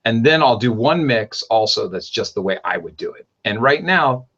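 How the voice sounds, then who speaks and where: A man hosting a podcast, talking in a rambling, animated way and often correcting himself mid-sentence. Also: medium pitch, moderate pace, breathy edge, dull audio. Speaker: podcast host. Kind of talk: casual podcast chat.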